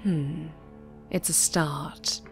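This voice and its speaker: A woman playing a cold, scheming sorceress who calmly torments a captive in a fantasy audio drama. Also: deep voice, very slow, creaky, faint music behind, heavily acted. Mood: cool satisfaction.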